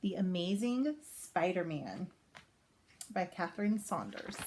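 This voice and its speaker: A woman reading a children's book aloud as a storyteller, expressive and pausing to explain things for young listeners. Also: on the low side, a little hiss or hum, rough recording.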